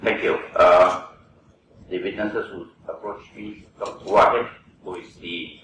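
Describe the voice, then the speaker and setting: A man serving as counsel, addressing judges politely and haltingly in a formal hearing. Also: low voice, steady tone, fairly bright tone, a little hiss or hum. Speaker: counsel at a tribunal. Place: large courtroom. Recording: very echoey courtroom, from far off.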